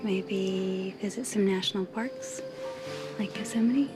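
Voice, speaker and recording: An actress playing a hopeful, dreamy young woman in a TV soap, with a soft, breathy, wistful delivery. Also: mid-range voice, takes it slowly, natural voice. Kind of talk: television soap opera acting. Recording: loud music over dialogue.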